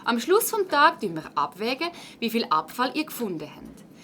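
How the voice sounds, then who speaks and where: Female schoolteacher, highish very lively voice, brisk and instructive, Swiss German accent, faint background noise. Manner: instructive, orderly, addressing a class. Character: brisk, encouraging female primary school teacher. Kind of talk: children's radio play acting.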